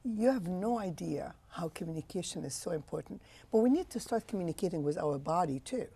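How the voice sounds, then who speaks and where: A woman's voice: on the low side, expressive, fairly quick, creaky, dark tone, husky. A woman in her sixties from Egypt, a physician answering interview questions with passionate, emphatic phrasing. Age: 60s. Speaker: physician guest.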